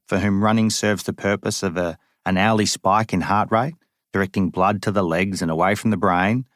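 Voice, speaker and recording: A man reading a first-person memoir aloud as an audiobook, in an even, measured way with dry humour. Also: lowish voice, no room sound. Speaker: audiobook reader.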